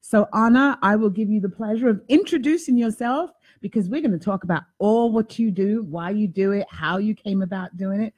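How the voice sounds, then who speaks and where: A woman hosts a casual talk show from a home office lined with bookshelves. Her voice is slightly husky, and she talks in an animated, self-mocking way into a desk microphone. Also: medium pitch, brisk, few pauses. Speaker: host. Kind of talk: casual chat. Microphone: desk mic on boom arm.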